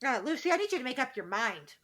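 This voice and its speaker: A woman's voice: sits high, very animated, fast talker, fairly bright tone. A woman co-hosting a podcast in casual, joking chat, with dry, irreverent banter. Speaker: podcast co-host.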